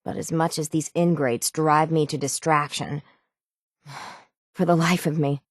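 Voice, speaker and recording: A woman playing a gruff, world-weary, sardonic island sailor in a video game, with salty, plainspoken folksy phrasing. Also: low voice, steady tone, a little slow, dry recording, clean studio sound. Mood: weary, resigned. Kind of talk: video game character dialogue.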